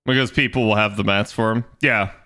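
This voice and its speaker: A young man chatting casually on his game stream, rambling and restarting as he thinks aloud, with a slightly raspy voice today. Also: fairly low pitch, steady tone, a little fast, pushed and bright. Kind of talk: casual livestream chat.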